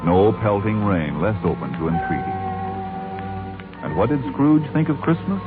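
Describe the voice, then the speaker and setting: A man's voice: on the low side, big pitch swings, moderate pace. A man narrating a radio play in a theatrical, declamatory 1940s storytelling style. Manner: dramatic, declamatory, storyteller phrasing. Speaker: announcer and narrator. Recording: tinny 1940s radio broadcast, music behind.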